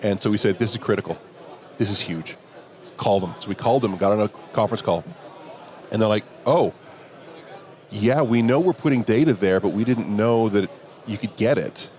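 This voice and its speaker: A man with a big voice, a security professional telling a relaxed story during a hallway chat at a conference. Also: fairly low pitch, moderate pace, pauses often. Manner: relaxed anecdotal telling, quoting others in dialogue. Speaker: interview guest, security professional. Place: outdoors at a conference venue.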